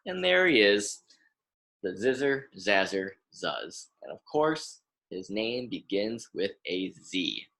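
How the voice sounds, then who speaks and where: A young adult American man reads a children's book aloud as a lesson. His pace is unhurried and his intonation is lively, rising and falling widely. He instructs plainly, repeating and stressing words for young listeners. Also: on the low side, vocal fry, low-quality recording. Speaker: graduate student reading aloud to children.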